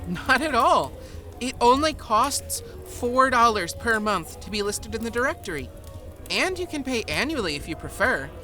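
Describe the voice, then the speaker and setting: A woman plays a helpful, enthusiastic female shopper in a comic fantasy ad. The voice is fairly high and lively, explaining things brightly over a music bed. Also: moderate pace. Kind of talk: scripted comic podcast ad. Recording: music behind the voice.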